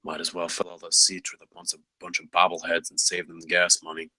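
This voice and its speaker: A man acts out a wizened designer in his sixties at a table read. The voice is deep and husky, recorded dry. He is composed, measured and sure of himself. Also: monotone, pushed and bright, no room sound, full character voice, poor audio. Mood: wry. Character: wizened 66-year-old veteran designer, composed and focused.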